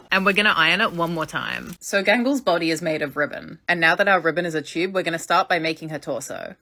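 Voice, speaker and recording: A woman's voice: on the low side, bright, forceful tone. A woman narrating a scripted craft tutorial as its maker, explaining step by step in a matter-of-fact way.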